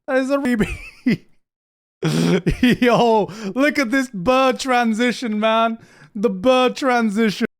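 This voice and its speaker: A man's voice: very high-pitched, slow, clear, resonant tone. A young man casually reacting to an anime trailer on a live stream, swearing freely, laughing often and repeating himself as he thinks aloud. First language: not Japanese.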